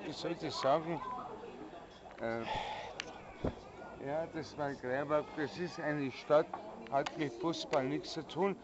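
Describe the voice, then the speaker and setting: A middle-aged man answering questions outside a café, halting and hesitant, repeating his words as he searches for them. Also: on the high side, crowd noise. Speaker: interviewee. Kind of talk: casual street chat. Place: outdoors in front of a café. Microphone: handheld camera nearby. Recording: muffled lo-fi sound with people around.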